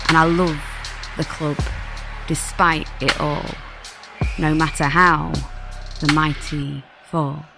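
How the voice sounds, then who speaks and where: A female reader with a slightly low voice recites verse over music. Her delivery is very slow, measured and rhythmic, like someone telling an old story. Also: little pitch movement, gravelly. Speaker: guest reader. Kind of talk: poetry recital.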